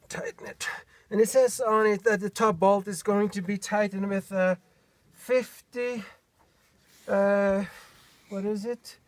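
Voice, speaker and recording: A Norwegian man with a Norwegian accent, showing a hitch step by step in a halting way, full of fillers, recorded outdoors on a camera mic. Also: sits high, background noise. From Norway. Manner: halting, searching for words, many fillers. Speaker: DIY vlogger. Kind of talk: hands-on how-to demonstration. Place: outdoors by a pickup truck bed.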